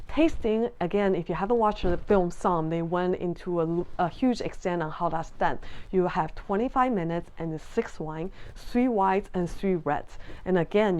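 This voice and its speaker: Taiwanese-born woman explaining sommelier exams. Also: lowish voice. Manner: friendly, earnest, with frequent ums and restarts. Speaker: sommelier vlogger. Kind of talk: casual explanatory talk to camera.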